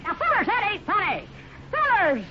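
High-voiced gullible Western sidekick. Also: male voice, huge pitch swings, normal speed, exaggerated voice. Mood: indignant. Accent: American. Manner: folksy drawl, naive, rambling. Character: gullible, good-natured folksy Western sidekick, talkative bumbler. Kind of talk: 1950s radio serial acting. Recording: muffled, noisy old radio recording.

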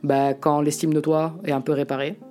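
A man in his late twenties from Italy, talking frankly and reflectively in an interview and often pausing to find his words. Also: fairly high pitch, little pitch movement, dark tone. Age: late 20s. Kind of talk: candid personal testimony.

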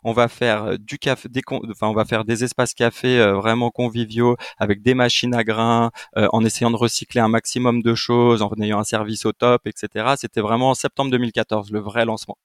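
A man's voice: mid-range voice, flat delivery, a little fast, hardly any pauses, no echo. A French man in his twenties, a company co-founder, chatting casually as a guest with lots of fillers and restarts. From France. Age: 20s. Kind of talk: casual business interview.

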